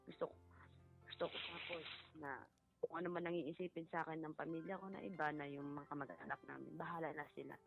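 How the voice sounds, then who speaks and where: A woman caller with a creaky voice talks haltingly and respectfully on a radio show. The line sounds muffled, with music playing behind her. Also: sits low, flat delivery. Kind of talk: personal account, halting and respectful.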